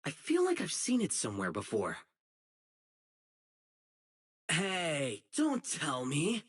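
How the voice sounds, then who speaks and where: A man voicing an earnest, righteous young public security officer in a video game, dutiful and excitable, with dramatic delivery. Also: on the high side, unhurried, husky, heavily acted. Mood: businesslike, suspicious. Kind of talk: isolated game character voice lines, dramatic acting.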